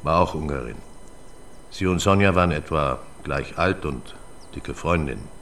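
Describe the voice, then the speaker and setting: A low-pitched older man, a big retired cop recalling old cases bluntly in a radio drama. Faint ambient noise sits behind him. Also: lively intonation, moderate pace, a bit bright, exaggerated voice. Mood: blunt, probing.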